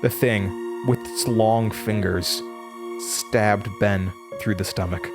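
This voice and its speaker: Young male student narrator for horror audio drama: slightly low pitch, haunted, dramatic delivery, clear music bed. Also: steady tone, takes it slowly. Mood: horrified. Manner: dramatic, haunted, stammers under stress. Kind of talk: horror audio drama first-person narration, acting out dialogue.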